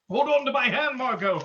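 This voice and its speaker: A man's voice: very high-pitched, pushed and bright, slight background noise, full character voice. A man playing a stern, commanding crime-fighting hero in a dramatic old-time radio drama reading. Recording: dull lo-fi sound.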